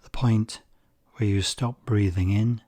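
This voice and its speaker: Slow, breathy, low-voiced man. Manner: soft-spoken, calm and unhurried, with breathy near-whispered phrases. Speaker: meditation guide. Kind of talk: slow guided meditation.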